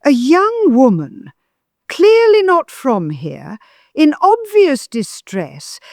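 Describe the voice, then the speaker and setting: A woman's voice: high voice, huge pitch swings, takes it slowly, a little mellow, dry recording, studio quality, exaggerated voice. A woman playing a haughty, disapproving older mother-in-law in an audio drama, pompous and full of righteous insinuation. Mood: disdainful, insinuating.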